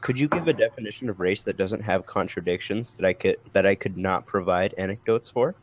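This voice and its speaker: A man with a fairly low voice debates in a casual group setting. He is methodical and challenging, picking his words haltingly, with some noise behind him. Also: highs cut off.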